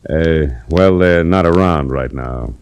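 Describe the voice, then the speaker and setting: A man's voice: a bass voice, slow, a little mellow, clear, resonant tone, full character voice. An actor playing an evasive, needling male garage owner in a 1940s radio drama. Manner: evasive, needling, mock-friendly. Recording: restored old radio broadcast.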